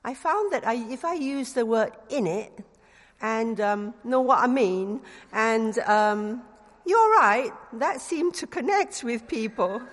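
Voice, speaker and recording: A middle-aged woman from Singapore with a Singaporean English accent and a touch of London slang, giving an earnest sermon with gentle humor and leading prayer. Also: a little high, animated, slow pace, in a large hall. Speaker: preacher.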